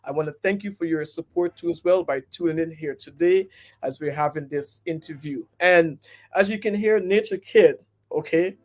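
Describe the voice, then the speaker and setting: A man's voice: on the high side, moderate pace, darkish tone. A man from Guyana hosting an interview, friendly and prone to repeating himself with lots of "um". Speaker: podcast host. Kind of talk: casual interview.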